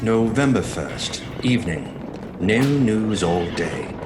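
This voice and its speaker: An actor playing an earnest Victorian gentleman who reads out his journal in clipped entries in a radio drama. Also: lowish voice, expressive, slow pace, over music. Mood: grim. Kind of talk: dramatic radio-play narration. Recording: noisy sound-effect ambience behind.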